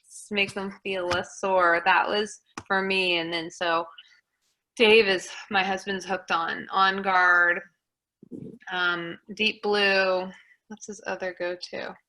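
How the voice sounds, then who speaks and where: A woman hosting a casual group chat, giving encouraging advice. Her voice is bright and forceful at a middle pitch. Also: flat delivery, takes it slowly. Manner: encouraging, advising.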